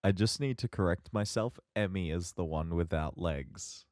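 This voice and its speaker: Guy co-hosting a podcast, really low voice, takes his time, wordy and halting, dry close sound. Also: darkish tone, no echo. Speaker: podcast co-host. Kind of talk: casual podcast chat.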